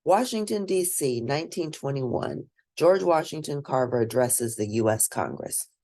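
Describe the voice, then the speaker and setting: A woman reading a children's picture book aloud as host, in expressive, deliberate storytelling. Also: rumbling low.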